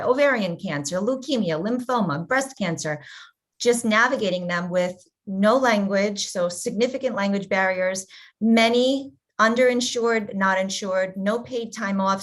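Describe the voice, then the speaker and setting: Earnest woman telling her story. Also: medium pitch. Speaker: patient advocate. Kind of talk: personal story on a video panel.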